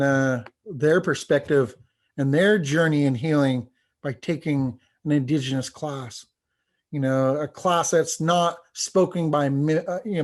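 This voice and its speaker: A man with a somewhat high voice gives a panel presentation as a language teacher. His delivery is earnest and informal, with a halting flow as he works through his points. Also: a little mellow. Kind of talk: presentation with testimonials read aloud.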